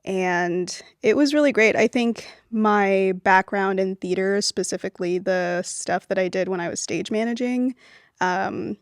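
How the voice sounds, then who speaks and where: A young adult woman from Venezuela, raised in Miami, talks as a film producer guest in a relaxed, casual interview, using lots of "um" and "like" fillers. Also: medium pitch, unhurried, smooth, no echo, clean studio sound.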